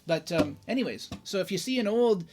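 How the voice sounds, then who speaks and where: A man with a slightly higher voice talks casually through a guitar review. He is chatty and enthusiastic, with plenty of "you know" fillers and "right?" tag questions along the way. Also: expressive, fairly quick, background noise.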